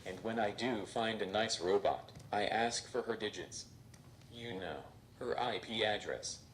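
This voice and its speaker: A man voicing a robot stand-up comedian who delivers deadpan jokes on stage. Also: fairly low pitch, measured pace, heavy background noise, lightly acted. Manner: deadpan, flat punchlines. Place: stage before a live audience.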